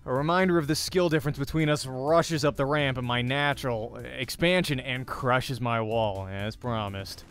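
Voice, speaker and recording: A man's voice: mid-range voice, normal speed, few pauses, fairly bright tone. A man doing a let's play, reading a visual novel's narration aloud with expression. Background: faint music.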